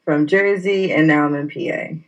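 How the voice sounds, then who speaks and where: A woman's voice: low voice, moderate pace, lo-fi sound. A woman from New York, a professional wrestler, chatting as a guest in a casual interview and laughing easily. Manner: friendly, giggly, easygoing.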